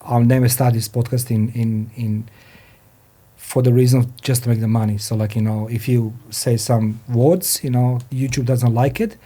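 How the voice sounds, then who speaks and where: A man who is a non-native English speaker hosts a podcast interview, speaking haltingly and often repeating his phrases. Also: mid-range voice, steady tone, dark tone. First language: not English. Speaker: podcast host. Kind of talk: podcast interview, introducing and questioning a guest. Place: studio.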